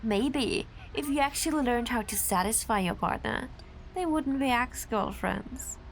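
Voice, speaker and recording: Radio drama role: obsessive, defensive young woman played by an actress, dramatic highish voice, faint noise behind. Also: measured pace. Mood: scornful. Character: volatile, obsessive young woman in a failing romance.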